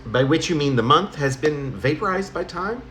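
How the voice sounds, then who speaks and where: A middle-aged or older man reading his own letter-like poem aloud in a halting, conversational way, correcting himself as he goes. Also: a little high, lively intonation, sharp tone. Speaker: poet reading own work. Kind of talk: spoken poem reading in the form of a personal letter. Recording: roomy home recording, faint noise.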